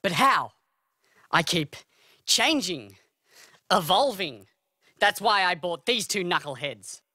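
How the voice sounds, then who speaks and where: A paranoid cartoon television host , voiced by a man. He is agitated and bullying, with a sharp, high-strung tone in a dry recording. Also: expressive, slow pace, dry recording, exaggerated voice. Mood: anxious. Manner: agitated, self-important. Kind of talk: animated satire dialogue.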